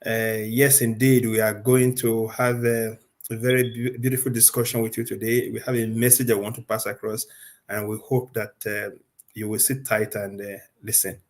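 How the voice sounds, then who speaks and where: Man, from Nigeria, panel guest, measured, polite, flat delivery. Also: fairly low pitch. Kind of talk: formal panel introduction.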